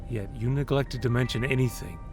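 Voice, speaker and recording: A cold, measured superior officer, played by a man, pressing a subordinate with calm accusations. Music plays clearly beneath the scene. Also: medium pitch, natural voice. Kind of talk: audio drama acting.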